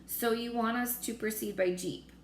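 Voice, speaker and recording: A woman's voice: on the high side, steady tone, normal speed, pitched above the performer's own voice, lightly acted. Woman as a blustering, cowardly army chief. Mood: demanding. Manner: urgent, blustering exclamations. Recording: roomy echo with faint noise.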